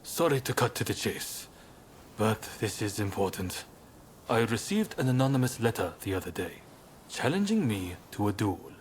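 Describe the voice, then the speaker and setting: A man's voice: lowish voice, big pitch swings, normal speed, slight background noise. An actor playing a composed, wary swordsman in a video game, direct and matter-of-fact with a dry wit. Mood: wry, businesslike. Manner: matter-of-fact, dryly wry. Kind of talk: video game cutscene acting.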